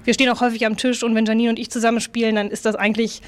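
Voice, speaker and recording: A woman's voice: a little high, level tone, very fast, clear, resonant tone. A woman in her twenties, a table football player, talking in a chatty, easygoing and self-deprecating way. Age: 20s. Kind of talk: interview statements.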